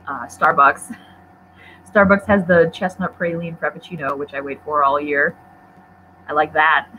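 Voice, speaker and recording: A woman's voice: lowish voice, fairly bright tone. A young woman born in Poland chatting casually on a livestream, answering viewer questions in a rambling, self-deprecating way with many fillers. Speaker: book vlogger. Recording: tinny phone-like livestream audio, faint noise.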